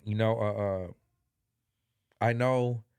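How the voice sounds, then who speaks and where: A middle-aged man hosts a casual podcast, his voice congested yet smooth and clear. He rambles through fillers and street slang at an easy pace. The sound is dry and close, with no room in it. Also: medium pitch, little pitch movement, slow, darkish tone, no room sound. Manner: rambling, filler-heavy, laid-back slang. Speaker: podcast host. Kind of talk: casual chat.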